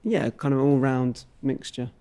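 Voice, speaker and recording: A man's voice: medium pitch, measured pace, dark tone. A man, a folk musician chatting casually in an interview. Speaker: folk musician, singer and guitarist in a duo. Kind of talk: relaxed interview chat.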